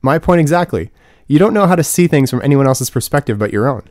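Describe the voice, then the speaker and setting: A man's voice: medium pitch, lively intonation, fast, darkish tone, no room sound, clean studio sound, pitched above the performer's own voice, natural voice. A man reading the part of an indignant, lecturing college woman in an audiobook, in a pointed, argumentative manner. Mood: challenging, sarcastic.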